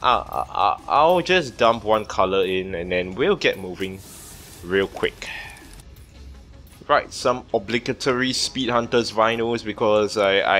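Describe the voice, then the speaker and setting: A young man hosts a casual let's play with a very lively, swinging pitch. He rambles, repeats himself and swears freely, with faint game music behind him. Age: young adult. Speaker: gaming video host.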